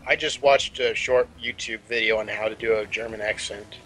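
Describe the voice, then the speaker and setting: A man's voice: fairly low pitch, steady tone, normal speed, bright, forceful tone, faint music behind, low-quality recording. A young man chatting casually and rambling, with lots of fillers, between game scenes. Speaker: player. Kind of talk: casual chat.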